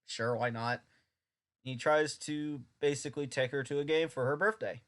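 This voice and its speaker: A man's voice: a little high, fairly even intonation, moderate pace, a bit bright. A young man reviewing an old anime dub in a casual, sarcastic, rambling way.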